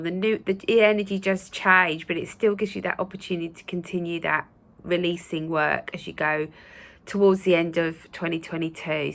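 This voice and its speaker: A woman hosting her own channel, speaking in a casual, gentle, reassuring way with a croaky voice from a recent cold and many fillers. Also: on the low side. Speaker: spiritual channel host. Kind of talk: casual spoken talk to viewers.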